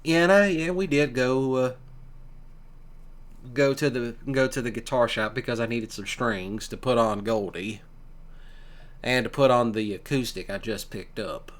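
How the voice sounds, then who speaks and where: A man's voice: medium pitch, natural voice. Man playing easygoing, affectionate country boyfriend. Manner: casual, teasing, folksy, with pauses. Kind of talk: ASMR boyfriend roleplay, one-sided conversation.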